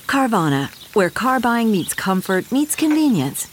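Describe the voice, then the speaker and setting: A woman reading a commercial in a breezy, lilting, relaxed way. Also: on the high side, unhurried, slight background noise.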